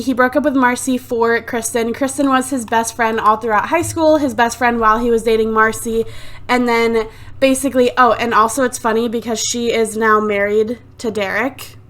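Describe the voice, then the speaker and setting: Gamer girl rambling over her sims game, kinda high voice, sick and a bit phlegmy, lots of um and like. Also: fairly bright tone. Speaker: let's play host. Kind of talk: casual gameplay commentary. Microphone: desktop USB microphone.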